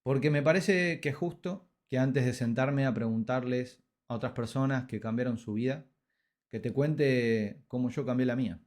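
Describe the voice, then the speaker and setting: A man in his thirties with an Argentine accent, hosting a podcast and telling his life story in a reflective, scripted way with dramatic pauses. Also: medium pitch, a little mellow. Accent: Argentine Spanish. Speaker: podcast host. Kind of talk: scripted personal narration.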